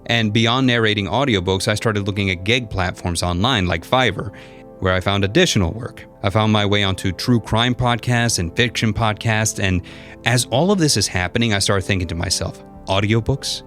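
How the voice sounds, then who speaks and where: Male voice actor, low register, expressive first-person storyteller with a dramatic touch, light music underneath. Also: normal speed. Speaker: voice actor and content creator. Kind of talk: personal storytelling with numbered lessons. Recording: music behind the voice. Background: background music.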